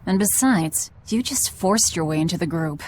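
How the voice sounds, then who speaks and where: A woman playing a blunt, aloof, world-weary young fighter in a video game, speaking in a dry, curt deadpan. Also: fairly low pitch, normal speed, background noise, lightly acted. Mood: deadpan, unimpressed. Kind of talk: English video game voice acting.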